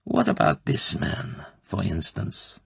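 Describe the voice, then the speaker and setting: A cruel, falsely motherly Soviet colonel, put on by a man reading an audiobook, coaxing in an oily, smooth way. The voice is deep and gravelly with a husky, breathy edge, sometimes sinking to a whisper. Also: wildly swooping pitch, takes it slowly, fairly bright tone, no echo, exaggerated voice. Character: cruel middle-aged female Soviet colonel interrogator.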